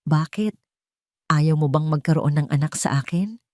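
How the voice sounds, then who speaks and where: A woman's voice: low-pitched, moderate pace, a little mellow, no room sound, studio quality, natural voice. A woman voicing a scheming, gold-digging young mistress in an audio love drama, taunting and boastful. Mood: defensive.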